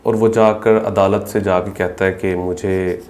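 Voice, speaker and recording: A man's voice: low voice, little pitch movement, measured pace, darkish tone. A man with a Pakistani accent asking questions conversationally as an interviewer. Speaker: interviewer, podcast host.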